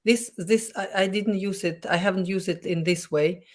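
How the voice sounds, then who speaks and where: A woman hosting an interview, speaking English as a second language with halting, searching phrasing. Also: mid-range voice, fairly even intonation. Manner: halting, searching for words, non-native phrasing. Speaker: podcast host. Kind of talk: casual interview chat.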